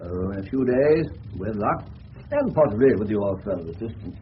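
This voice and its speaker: An actor playing an eccentric, shrewd older English general who questions people in a genial, digressive, slightly pompous way in a radio drama. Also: male voice, fairly low pitch, lively intonation, moderate pace, close to an everyday voice. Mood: measured, knowing. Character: eccentric, shrewd older gentleman general turned amateur detective. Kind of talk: 1970s radio drama acting. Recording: dull, noisy old radio broadcast recording.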